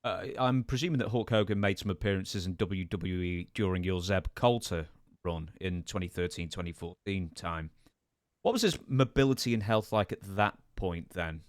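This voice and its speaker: Male podcast host, lowish voice, measured and polite interviewer, dry studio-like sound. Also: no room sound. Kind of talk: interviewing, reading out wrestling news.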